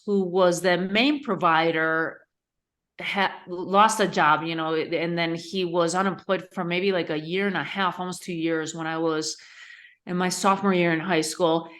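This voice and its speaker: A woman from Spain, a guest on a podcast, answering thoughtfully with pauses and self-corrections. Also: fairly low pitch, fairly even intonation, a bit bright. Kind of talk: podcast interview.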